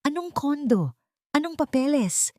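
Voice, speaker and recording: Woman putting on a haughty high-pitched old matriarch. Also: very animated, unhurried, a little mellow, no room sound, clean studio sound. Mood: confused. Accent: Filipino. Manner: indignant, trembling.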